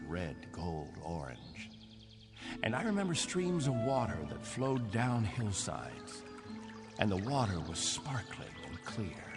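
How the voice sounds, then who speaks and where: An old-ish wistful space captain in a radio play, low slow voice, dramatic pauses. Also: male voice, exaggerated voice. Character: aging spaceship captain, wistful leader of stranded colonists. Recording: long reverb, music underneath.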